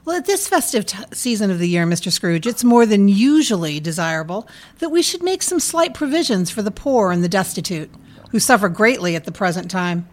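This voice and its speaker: A pleasant, well-bred lady collecting for charity played by a woman in a radio play. Her voice sits at a middle pitch, with formal, courteous phrasing and an earnest appeal. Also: big pitch swings, a little fast, natural voice. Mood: polite, earnest. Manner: polite, formal. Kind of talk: live radio play reading.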